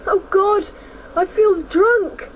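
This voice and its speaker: A woman's voice: very high-pitched, takes it slowly, slight background noise, poor audio. A woman acting as a wide-eyed young boy in a radio play, earnest and dramatic in delivery. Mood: exhilarated.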